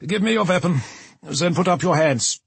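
A man's voice: a little high, normal speed, gravelly, breathy edge, dry recording, pitched above the performer's own voice. A man voicing a curt, commanding German soldier in an audiobook, barking clipped orders. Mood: curt, threatening.

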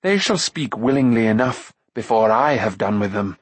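Man voicing a cold, imperious enchantress, swinging high and low. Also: expressive, normal speed, breathy edge, no room sound. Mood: harsh, threatening. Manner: haughty, commanding, archaic formal speech. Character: imperious, cunning, cruel female enchantress, coldly threatening. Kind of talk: audiobook character acting.

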